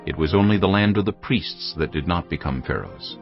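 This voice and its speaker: Very deep, gravelly male narrator. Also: expressive, brisk. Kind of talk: dramatized scripture narration. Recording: dull lo-fi audio. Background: faint music.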